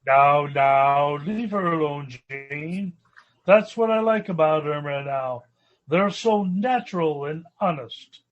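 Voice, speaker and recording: A man's voice: a little high, lively intonation, takes it slowly, muffled recording. A man playing a polite, mild-mannered rich young banker, courteous and hesitant, in an old-time radio comedy. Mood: gracious.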